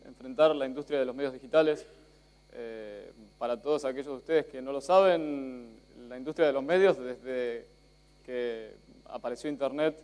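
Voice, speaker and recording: A slightly high-voiced young man, born in Spain but sounding Argentine, lectures to a live audience. He talks casually, trips over restarts and pokes fun at himself. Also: a little mellow, smooth. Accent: Argentine Spanish. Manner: chatty, self-joking, many fillers and restarts. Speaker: conference speaker. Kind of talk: live conference talk.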